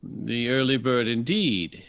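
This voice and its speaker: A man playing a suave, domineering older jazz bandleader in a period audio drama, husky and smooth-talking with showy, pompous phrasing. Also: medium pitch, lively intonation, slow, fairly bright tone, full character voice. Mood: casual, dismissive. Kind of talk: period radio drama acting.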